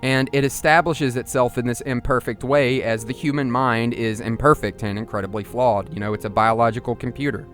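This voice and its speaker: Male narrator, higher-middle everyday pitch, musing video essay delivery, explanatory, slightly conversational, music underneath. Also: steady tone, brisk, few pauses, a bit bright.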